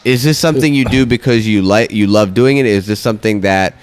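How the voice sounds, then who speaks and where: A man's voice: lowish voice, brisk, smooth, soft background music. A man co-hosting a casual podcast, joking and swearing freely as he chats. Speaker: podcast co-host.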